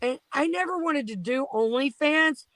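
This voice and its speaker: High-voiced, excitable woman, dry sound. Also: moderate pace, no echo. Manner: crude, loud, excitable. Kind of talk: casual panel chat.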